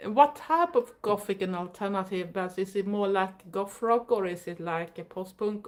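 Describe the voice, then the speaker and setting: A Swedish woman with a Swedish accent, English her second language, chatting casually on a live stream and answering viewers' comments. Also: mid-range voice. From Sweden. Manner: chatty, rambling, with frequent fillers and soft laughs. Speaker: livestream host.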